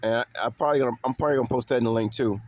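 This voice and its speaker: A man's voice: medium pitch, very fast. A man from talks off the cuff to his viewers, emphatic and repetitive, with street slang and swearing. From Chicago, United States. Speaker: vlogger. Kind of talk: casual unscripted monologue to viewers.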